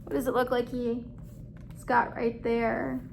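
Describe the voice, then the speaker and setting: High-voiced woman reading to children, unhurried. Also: completely flat delivery, gravelly, a little hiss or hum. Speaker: read-aloud teacher. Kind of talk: children's story read-aloud with questions to listeners.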